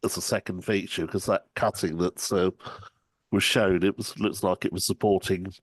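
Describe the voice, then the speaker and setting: Low-voiced man from the UK, dry sound. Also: fairly even intonation, normal speed, husky, dry recording. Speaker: webinar audience member. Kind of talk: casual question and comment on a video call.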